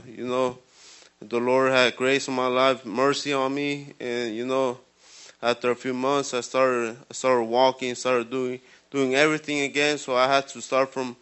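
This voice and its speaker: Guy in his twenties telling his story, flat and even, halting with fillers, resonant voice. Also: mid-range voice, clear, resonant tone. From Guatemala. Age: 20s. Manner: halting, many fillers and restarts. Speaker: ministry program resident giving testimony. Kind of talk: personal testimony.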